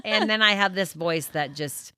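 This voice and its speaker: A middle-aged woman with a deep voice, a guest chatting casually and telling stories with lots of fillers. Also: normal speed, crowd noise. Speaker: guest business owner. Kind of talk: casual chat. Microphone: studio mic.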